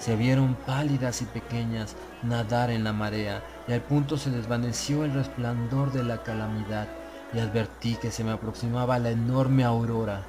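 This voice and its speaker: A man's voice: medium pitch, fairly even intonation, normal speed. Man solemnly narrating gothic horror over music. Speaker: audiobook narrator.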